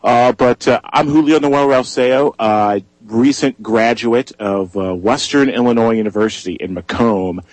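A young man in his twenties, a freelance journalist guest on a radio interview, speaking eagerly through a hoarse voice and many "uh" fillers. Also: medium pitch, a little slow, hardly any pauses, no echo, poor audio.

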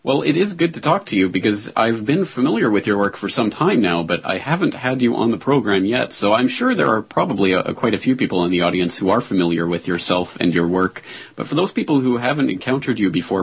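Deep, husky-voiced male host. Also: lively intonation, dry recording. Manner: measured, with frequent "uh" fillers. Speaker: interview show host. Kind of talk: talk show monologue and interview.

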